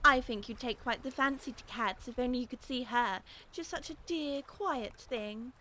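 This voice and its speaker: A well-mannered little girl in a full-cast audiobook. The voice is high and very bright, with an earnest, rambling, chatty manner. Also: moderate pace, slight background noise. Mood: eagerly chatty. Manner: earnest, rambling, musing aloud. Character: polite, chatty, curious little English girl.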